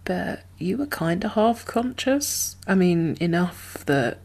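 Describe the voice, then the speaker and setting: Woman, low pitch, slow halting pace, soft and half-whispered, gentle ASMR roleplay, guarded caring apocalypse survivor. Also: animated. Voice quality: soft, breathy, often whispered. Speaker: ASMR performer playing a role.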